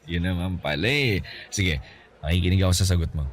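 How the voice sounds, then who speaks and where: Low-voiced Filipino flirt in a radio drama. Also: male voice, normal speed. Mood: playful. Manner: teasing, chuckling, conversational. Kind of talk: Tagalog radio drama acting. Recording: music under the voice.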